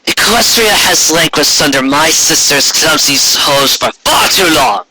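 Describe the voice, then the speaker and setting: Man voicing an imperious alicorn queen, slow and forceful. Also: on the high side, expressive, slight background noise, pitched above the performer's own voice, exaggerated voice. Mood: grandiose. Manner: archaic, grandiose, slow proclamations. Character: imperious female alicorn tyrant, regal and menacing.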